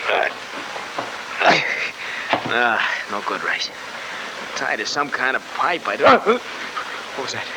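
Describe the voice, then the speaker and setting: On a noisy old radio recording, an American cabbie sidekick gripes in a raised voice. He is excitable, slangy and exasperated, a wisecracking 1940s radio drama comic foil. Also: mid-range voice, slow, pauses often. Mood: groggy, exasperated.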